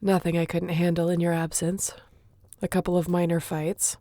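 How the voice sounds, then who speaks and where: A woman's voice: lowish voice, flat delivery, normal speed, warm tone, no room sound, natural voice. A woman playing a sassy, competitive young shifter in an audio roleplay, talking in a casual, teasing way. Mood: relaxed. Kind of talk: audio roleplay acting, addressing the listener.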